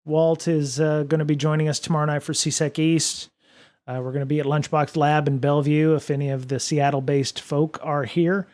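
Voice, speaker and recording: A man's voice: on the high side, little pitch movement, moderate pace, a little mellow. Rambling man chatting on a livestream. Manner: rambling, many fillers and restarts. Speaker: podcast and livestream host. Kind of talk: casual livestream chat reading viewer comments. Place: home studio office. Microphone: studio microphone at desk.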